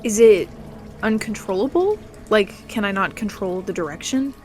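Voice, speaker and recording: A woman's voice: medium pitch, lively intonation, normal speed, a little mellow, soft background music. A woman talking casually as a player in a tabletop fantasy game.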